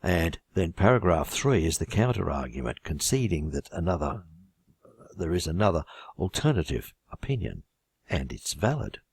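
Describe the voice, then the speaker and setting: An older Australian man with a husky voice introduces a writing course in a relaxed, conversational way, with self-deprecating humor. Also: deep voice, normal speed, no echo, clean studio sound. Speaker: IELTS writing teacher.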